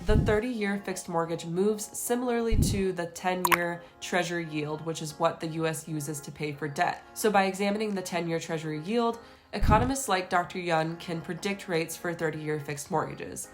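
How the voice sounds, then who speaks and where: Young woman w a cold, nasal, lowish voice, upbeat real estate update, faint music under. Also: normal speed. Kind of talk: scripted market update to camera.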